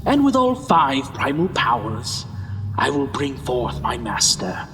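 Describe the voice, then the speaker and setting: An actor playing a gloating, scheming villain who serves a dark master, with smug, mocking menace, in a fantasy audio drama. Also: male voice, mid-range voice, wildly swooping pitch, slow, over music. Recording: long reverb, loud background noise.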